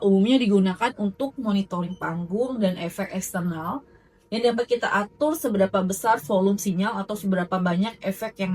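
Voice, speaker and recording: A woman presents a product demonstration. Her voice sits at a medium pitch. She explains things in an instructional manner, as a presenter guiding a user through features. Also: a little mellow, rough recording. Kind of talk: product demo narration.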